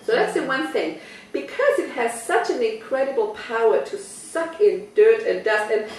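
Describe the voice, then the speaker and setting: A woman's voice: fairly high pitch, sing-song, normal speed, very echoey, distant mic, poor audio. A woman from Austria with an Austrian German accent, giving a chatty product demonstration in a living room and asking her listeners questions. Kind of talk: home party product talk. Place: living room of a private house.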